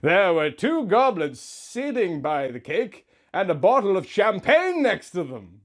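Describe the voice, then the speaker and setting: A man's voice: high-pitched, big pitch swings, measured pace. A man in his thirties giving a mock-earnest, melodramatic reading of a story, leaning on words for emphasis. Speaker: host of a comedy reading series. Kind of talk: theatrical dramatic reading of fan fiction.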